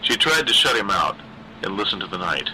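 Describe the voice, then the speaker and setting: Male horror audiobook reader. Slightly low, very bright, tense tone, deliberate dramatic pacing, faint background noise. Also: very animated, rough recording. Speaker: story narrator, reader. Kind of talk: scripted narration of a dark horror story.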